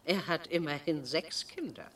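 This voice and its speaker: A woman's voice: low-pitched, moderate pace, far from the mic, slight background noise, lightly acted. A woman playing a domineering, bitter elderly retired schoolteacher in a radio drama, speaking in a pedantic, imperious way. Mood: bitter, lofty. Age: older. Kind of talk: German radio drama acting.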